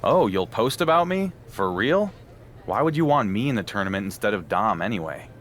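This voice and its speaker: Low-voiced, wry underdog wrestler, noisy background. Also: male voice, very animated, fairly quick, a bit bright. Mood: sarcastic. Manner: casual, understated, dryly sarcastic. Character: wry, earnest male underdog pro wrestler. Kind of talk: scripted video game cutscene acting.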